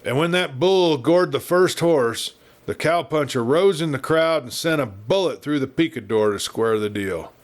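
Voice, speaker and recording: A man with an American accent reading a Western story as an audiobook narrator, in a measured, dramatic storytelling style. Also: on the high side, lively intonation.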